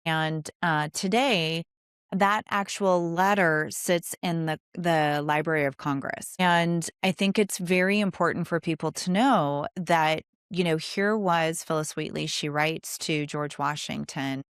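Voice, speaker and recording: A woman with a low-pitched voice hosts an interview in a conversational style. She speaks with emphasis and enthusiasm. The sound is dry and close, with little room in it. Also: a little slow, clean tone, dry recording. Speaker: podcast host interviewing an author.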